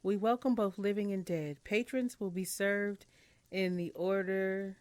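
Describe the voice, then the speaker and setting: A woman's voice: medium pitch, fairly even intonation, measured pace, dry recording. A woman doing a casual let's-play, reading on-screen game text aloud with chatty murmured asides, over game music. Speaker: video game streamer. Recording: music playing behind the voice.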